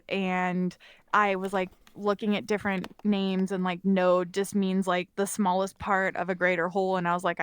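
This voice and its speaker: A woman's voice: medium pitch, fairly bright tone, clear, resonant tone, dry recording. A woman chats informally as a guest on a podcast. Her voice is clean and resonant, recorded dry with very little room. She talks in an easy conversational way and laughs readily. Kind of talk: casual chat.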